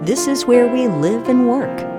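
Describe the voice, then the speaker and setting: A woman's voice: fairly high pitch, lively intonation, measured pace, over loud music. A woman reading scripted narration for an advertisement. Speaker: law firm advertisement narrator.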